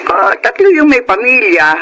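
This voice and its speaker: Bright, animated village aunt. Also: female voice, fairly high pitch, normal speed, a little hiss or hum, low-quality recording. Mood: gossipy, warm. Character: chatty village aunt, friendly and knowing. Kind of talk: Filipino radio drama acting.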